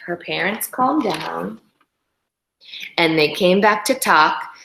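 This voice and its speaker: Animated woman reading a storybook, echoey room. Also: fairly low pitch, creaky, slight background noise. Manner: animated, dramatizes characters and pauses to comment. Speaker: children's story reader. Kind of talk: expressive picture-book read-aloud with asides.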